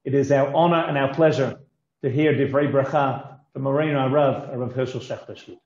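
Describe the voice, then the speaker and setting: A man giving a formal introduction as host of a conference, with halting, hesitant delivery. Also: medium pitch, fairly even intonation, a little mellow. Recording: echoey, slightly dull audio.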